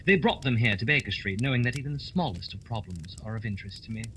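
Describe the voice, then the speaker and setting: A man's voice: on the low side, animated, fast, a bit bright, slight background noise. A man playing a self-assured, pompous Victorian detective with formal, articulate speech in a radio drama.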